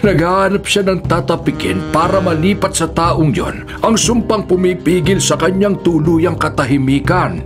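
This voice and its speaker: An actor playing a grave village folk healer in a radio drama, telling things in a solemn, deliberate way. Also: male voice, high voice, music in the background, natural voice. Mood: solemn, warning. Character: grave male village folk healer explaining a ghostly curse.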